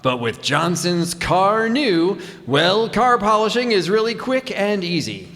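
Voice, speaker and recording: A man playing an upbeat, emphatic 1940s radio announcer pitching products like a salesman, in an echoey hall. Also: very animated, measured pace, fairly bright tone, full character voice. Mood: enthusiastic pitch. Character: upbeat male 1940s radio announcer selling car polish. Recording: echoey hall, stage performance.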